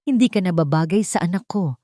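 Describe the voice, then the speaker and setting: A woman's voice: on the low side, animated, fast talker, mellow, no room sound. A woman narrator plays a rich old patriarch in a dramatic audio story. The delivery is cold and cutting, with scorn for whoever is listening. The sound is very dry. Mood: cold contempt.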